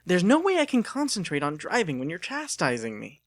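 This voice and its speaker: A man's voice: high-pitched, huge pitch swings, brisk, dry recording, clean studio sound, voice pushed up, heavily acted. Indignant young man, as a male narrator plays him. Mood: frustrated. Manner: indignant, insistent. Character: frustrated young man, defensive sweetheart.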